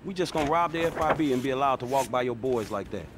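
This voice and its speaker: An actor playing a skeptical, streetwise young crook with an American accent in a video game, slangy and sarcastic. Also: male voice, mid-range voice, lively intonation, quick pace, background noise, lightly acted. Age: young adult. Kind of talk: video game cutscene acting.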